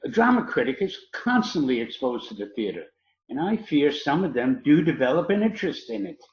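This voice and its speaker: A man's voice: mid-range voice, very animated, natural voice. A man plays a prim and proper clergyman in a radio comedy, stiffly polite and earnest. His intonation rises and falls widely in an echoey, slightly dull recording. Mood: prim, disapproving. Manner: stiff, formal, measured phrasing. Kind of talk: radio comedy theatre acting.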